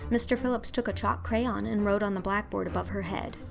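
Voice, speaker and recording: A woman's voice: mid-range voice, a little fast, gravelly, soft background music. A woman reading a children's story as scripted narration, in a theatrical, emphatic storytelling manner. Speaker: audiobook narrator.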